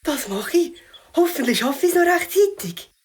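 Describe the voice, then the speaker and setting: A woman's voice: sits high, expressive, normal speed. A woman voicing a hapless, dramatic catfish with a Swiss German accent in a children's audio play. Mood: amazed, grateful. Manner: theatrical, exclamatory.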